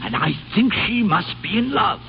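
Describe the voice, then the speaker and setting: An actor playing a fretful, blustering aristocrat in a vintage radio comedy. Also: male voice, high voice, expressive, normal speed, vocal fry, soft background music. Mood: curious, knowing. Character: excitable, fretful male aristocrat. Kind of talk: 1930s-40s American radio comedy acting. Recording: muffled, noisy old radio recording.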